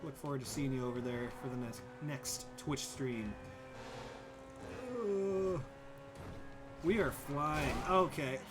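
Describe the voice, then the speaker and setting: A man's voice: mid-range voice, slow, pauses often, over music. A young man livestreaming a driving game, chatting casually, thinking aloud and thanking viewers by name. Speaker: streamer. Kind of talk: casual livestream chat while gaming.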